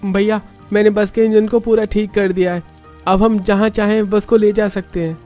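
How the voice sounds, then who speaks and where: A man's voice: sits high, flat delivery, normal speed, dark tone, faint music behind, voice pushed up, natural voice. A man voicing an eager, handy young family man in a cartoon story.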